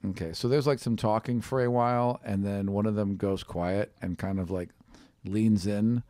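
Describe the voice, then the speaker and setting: Low-voiced male game master. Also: fairly even intonation, normal speed, dark tone, no room sound. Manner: conversational, second-person scene describing. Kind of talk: improvised tabletop game narration.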